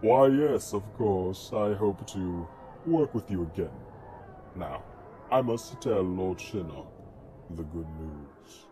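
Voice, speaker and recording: An actor playing a sinister, gloating sorcerer in an audio drama, smug and menacingly polite. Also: male voice, deep voice, animated, slow pace, mellow, a little hiss or hum. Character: sinister, gloating male sorcerer serving a dark lord.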